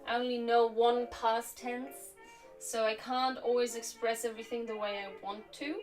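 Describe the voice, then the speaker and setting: A woman's voice: a little high, normal speed. A young woman, a native speaker of Slovak who grew up in Germany, chatting casually and reflectively while crafting on a live stream, with a slightly sore throat. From Slovakia, raised in Germany. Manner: relaxed, reflective, chatty with frequent fillers. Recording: distant mic with faint music.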